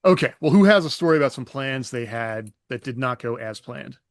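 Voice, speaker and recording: A man's voice: mid-range voice, expressive, a little fast, dry recording, clean studio sound. A man hosting a podcast opens the show and steers the chat with easygoing questions. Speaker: podcast host. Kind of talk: casual panel chat, moderating.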